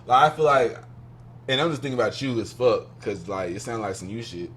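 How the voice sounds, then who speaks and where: A young man in his twenties hosting a podcast, with a mid-pitched voice and a loose, emphatic way of talking. He swears freely and turns to the listeners directly. A faint hum runs under the recording. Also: animated, brisk, a bit bright. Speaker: podcast host. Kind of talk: casual podcast chat.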